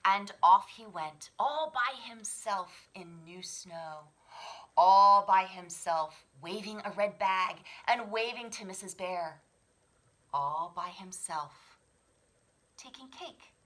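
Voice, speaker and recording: A woman reading a picture book aloud to children, dramatically, moving between hushed whispers and sudden swells. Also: medium pitch, takes it slowly. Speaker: children's library story reader.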